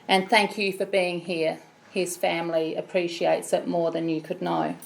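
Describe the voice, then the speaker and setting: An Australian woman with a loud voice, leading a funeral service as celebrant in a measured, solemn way. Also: fairly low pitch, level tone, gravelly, a little hiss or hum, low-quality recording. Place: funeral chapel.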